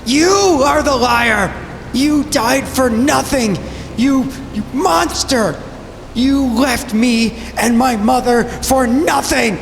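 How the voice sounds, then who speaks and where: A man's voice: very high voice, slow, vocal fry, a little hiss or hum, voice pushed up, heavily acted. A man playing an anguished, desperate man in an impassioned, emotional tabletop roleplay. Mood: furious, anguished. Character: Alex, anguished, desperate young man confronting loved ones. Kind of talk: dramatic tabletop roleplay acting. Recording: long reverb, faint music.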